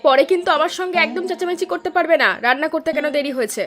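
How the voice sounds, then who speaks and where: A woman's voice: extremely high pitch, racing, fairly bright tone, soft background music. A woman voicing a nagging, demanding village wife in a cartoon. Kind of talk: Bengali cartoon voice acting.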